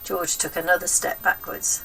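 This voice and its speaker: A woman narrating a children's story in an expressive, scripted reading style. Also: fairly low pitch, moderate pace, gravelly. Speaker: storybook reader. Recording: slightly distant mic, faint noise.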